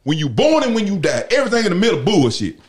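Guy hosting a chill podcast, cussing a lot, laughs thru his stories, kinda high voice. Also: sing-song, fast. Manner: profane, laughing storyteller. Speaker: podcast host. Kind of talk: casual podcast chat.

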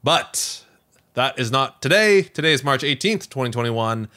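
Male podcast co-host, bright and punchy, very animated delivery, playful and conversational. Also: medium pitch, moderate pace. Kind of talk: casual podcast chat.

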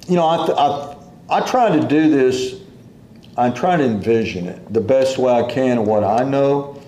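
A man preaching a sermon in an unhurried, folksy way, quoting scripture as he goes. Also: on the high side, darkish tone, roomy sound, slight background noise.

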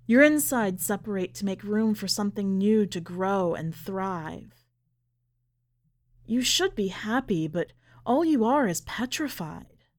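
A woman reading a literary short story aloud in a measured, deliberate way. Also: mid-range voice. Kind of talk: scripted literary narration.

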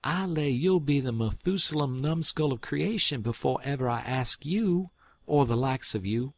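A man voicing a fussy, quick-tempered elderly farm wife from the South, shrill and scolding. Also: expressive, a little mellow, slightly rough, dry recording. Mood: indignant.

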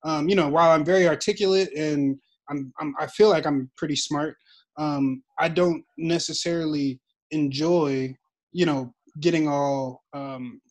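A man's voice: fairly high pitch, steady tone, a little slow. A young man moderating a casual group discussion, thoughtful and rambling with many fillers.

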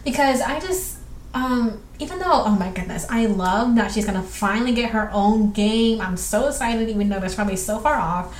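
A woman's voice: fairly high pitch, expressive. A young woman from southwestern Georgia with a Southern accent, hosting a casual solo podcast and rambling through listener questions with lots of fillers. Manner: rambling, chatty, filler-heavy, quick bursts. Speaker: podcast host. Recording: echoey room with faint background noise.

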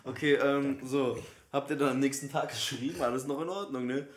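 A man's voice: mid-range voice, steady tone, brisk. A young man co-hosting a casual podcast, telling a rambling story full of restarts and self-corrections. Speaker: podcast co-host. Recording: echoey room on a cheap mic.

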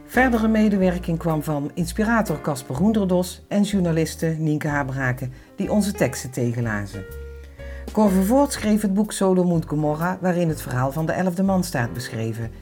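Low-voiced woman co-narrating a documentary. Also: lively intonation, moderate pace, darkish tone, faint music behind. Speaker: podcast co-narrator.

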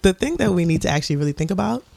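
A woman's voice: lowish voice, expressive, rapid-fire, gravelly, a little mellow. A podcast host from the Bronx, in her thirties, chatting casually and bluntly with friends, swearing and joking. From the Bronx, New York. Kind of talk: casual chat.

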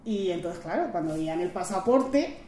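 A woman's voice: fairly low pitch, animated, normal speed, dark tone. Chatty woman from Madrid in her thirties. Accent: Madrid Spanish. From Madrid, Spain. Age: 30s. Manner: chatty, lots of fillers and "¿sabes?", acts out quoted voices. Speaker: guest. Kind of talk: casual conversational interview. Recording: roomy with faint ambient noise.

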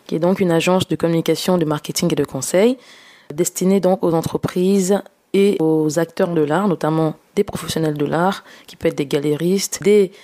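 A woman's voice: sits low, steady tone, moderate pace, dark tone. A young woman from Gabon, a podcast guest, telling her career story in a hesitant way with many fillers and restarts. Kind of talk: casual personal storytelling.

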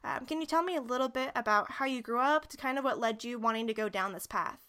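Gushy woman host on a podcast, highish voice, super effusive. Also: quick pace, fairly bright tone, clean tone. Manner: effusive, gushing with praise. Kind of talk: casual interview chat.

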